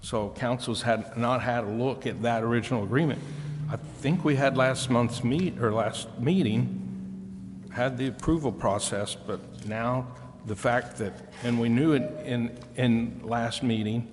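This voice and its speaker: A man questioning as a council member at a formal public meeting, with halting, roundabout explanations full of pauses. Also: medium pitch, background noise. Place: council chamber. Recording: echoey hall with long reverb.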